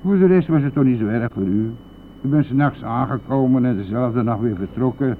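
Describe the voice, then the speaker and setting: A man's voice: medium pitch, brisk, natural voice. Through a narrow, tinny old radio recording with faint vehicle noise comes a man acting a chatty, nosy driver. He talks in a crude, colloquial, insistent way, pressing his listener as in a Dutch radio drama. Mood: reassuring. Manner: garrulous, colloquial, chuckling, insistent. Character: chatty, nosy male driver, crude and jovial, pestering silent passenger.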